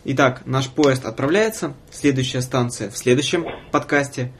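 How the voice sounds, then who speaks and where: A young man with a mid-pitched voice hosts a casual podcast. He tells stories in loose, slangy language like someone chatting with friends. There is faint ambient noise in the recording. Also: moderate pace. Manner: informal, slangy storytelling. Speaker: podcast host.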